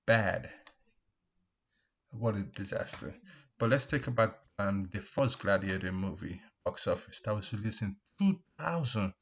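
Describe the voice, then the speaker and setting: A man giving casual opinion commentary as a video host, speaking in an emphatic, repetitive way with halting restarts. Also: on the low side, lively intonation. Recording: dull, lo-fi microphone sound.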